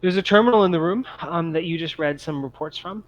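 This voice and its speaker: Halting male game master, slightly muffled sound. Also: on the high side. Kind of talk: explaining scenes and rules conversationally. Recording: dull lo-fi mic with faint noise.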